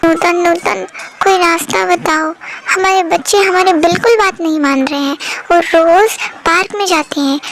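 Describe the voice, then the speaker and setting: A woman plays a stubborn, fretting mother from a moral story cartoon. Her voice is extremely high and childlike, with a creaky edge. Faint noise sits behind her. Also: moderate pace. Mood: worried. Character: worried, stubborn village mother of a truant son.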